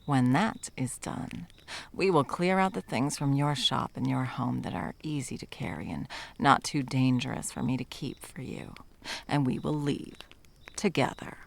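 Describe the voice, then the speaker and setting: A woman playing a stern, condescending yet tender monster hunter in an ASMR roleplay, commanding and dropping often into breathy whispers. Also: extremely low pitch, lively intonation, measured pace, a little hiss or hum, exaggerated voice. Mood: controlling, menacing. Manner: commanding, condescending, with pet names.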